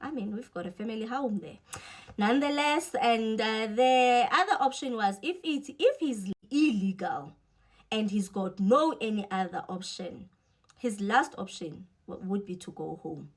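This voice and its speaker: A woman's voice: fairly high pitch, expressive, unhurried. A woman from the Eastern Cape with a South African accent, talking casually and giving her opinions as a vlogger, with wry asides.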